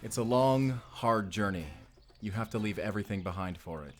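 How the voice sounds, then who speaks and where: Man as low-voiced Spanish vampire slayer. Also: moderate pace, soft background music, natural voice. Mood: warm, thoughtful. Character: young Spanish male vampire slayer, earnest, tender romantic hero. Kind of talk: cinematic audio roleplay acting.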